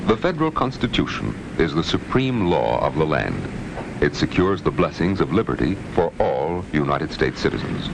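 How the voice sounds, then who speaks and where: A man narrates an old training film in an extremely deep voice with a gravelly edge. His scripted delivery is formal and authoritative, and the 1960s soundtrack is clearly noisy. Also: very animated, moderate pace.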